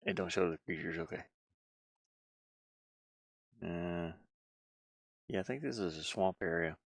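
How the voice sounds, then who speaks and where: Low, gravelly man thinking aloud. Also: measured pace, dry recording. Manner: rambling, musing, hesitant. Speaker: game developer live streamer. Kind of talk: casual live stream commentary, thinking aloud.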